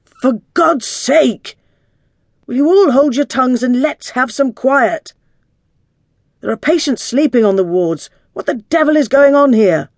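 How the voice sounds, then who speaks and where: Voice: mid-range voice, normal speed, mellow, dry recording, clean studio sound, lightly acted. An audiobook narrator voicing a flustered, exasperated male hospital doctor with a formal way of speaking. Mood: bellowing, exasperated. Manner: formal, raises voice to plead for order.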